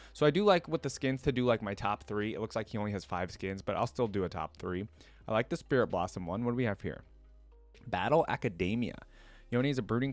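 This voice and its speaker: A man's voice: medium pitch, dry recording. A man giving casual reaction commentary as a channel host, chatting and reading aloud.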